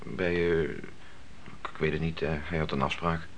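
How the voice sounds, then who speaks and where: A man's voice: extremely low pitch, level tone, a little slow. A man playing an earnest, questioning grown son in a radio play, hesitant and argumentative as he cautiously challenges his devout mother. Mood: hesitant, uneasy. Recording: noisy old radio play recording.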